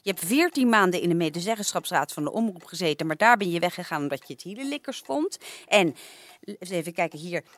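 An actress playing an officious, easily flustered woman editor-in-chief in a Dutch radio comedy, speaking in a formal, halting, bureaucratic way. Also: on the low side, lively intonation, no echo, natural voice.

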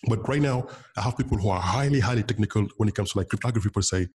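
A man's voice: fairly low pitch, rapid-fire, a little mellow. A man from Senegal whose first language is Wolof, a guest on an interview, talking casually with many fillers and restarts. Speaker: interview guest, bitcoin developer.

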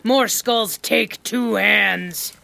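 Casting: boastful half-orc warrior woman, heavy gravel, slow blunt broken speech, music behind. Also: medium pitch, heavy background noise, heavily acted. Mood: gruff menace. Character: gruff female half-orc brute, menacing and boastful. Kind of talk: fantasy audio drama.

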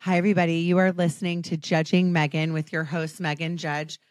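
Hoarse low-voiced American woman host. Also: little pitch movement, fairly quick, no echo. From Washington, D.C. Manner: chatty, self-deprecating, frequent ums. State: hoarse, raspy voice. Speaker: podcast host. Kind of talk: casual chat.

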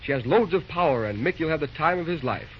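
A man playing a brisk, busy railroad dispatcher in an old-time radio drama, talking in clipped, folksy railroad slang. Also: a little high, animated, crowd noise, natural voice. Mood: businesslike. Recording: muffled, noisy old radio recording.

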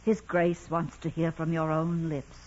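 A woman's voice: low voice, completely flat delivery, a little slow, darkish tone. An actress playing a dignified, concerned Mother Superior, formal and urging, in a 1940s radio drama. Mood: solemn. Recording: muffled, noisy vintage radio recording.

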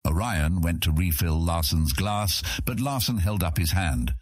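A man reads a fantasy story as narrator. His voice is low and husky with animated rises and falls, captured close in a dry studio sound. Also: a little slow, no echo. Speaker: audiobook narrator.

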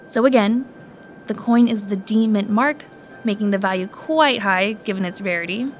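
An actress playing a courteous, careful coin appraiser who explains things politely and in measured phrases in an audio drama. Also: female voice, medium pitch, slight background noise, lightly acted. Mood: polite, explaining.

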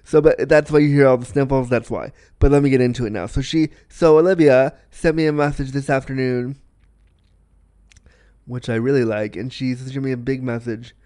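Man, podcast host, sniffly with a cold, rambling chatty delivery, smooth voice, dry close mic. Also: medium pitch, fairly even intonation, moderate pace, mellow, no echo. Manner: chatty, rambling, repeats himself. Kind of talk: casual solo podcast chat.

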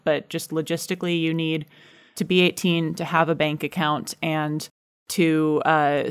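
A woman's voice: low-pitched, clean tone. An American woman talking casually as a guest in a podcast interview, giving advice from experience. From United States. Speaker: podcast guest, show creator.

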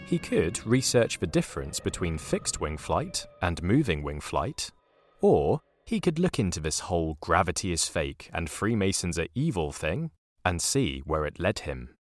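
An actor playing a wry, dramatic storyteller, delivering scripted narration for an audio drama. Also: male voice, low voice, big pitch swings, a little slow. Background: music.

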